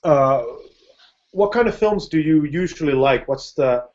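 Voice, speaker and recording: A man hosting a live video-call chat, talking in a halting way with many restarts and easy laughs. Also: fairly high pitch, lively intonation, darkish tone. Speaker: film director hosting a live video hangout. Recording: dull lo-fi video-call audio.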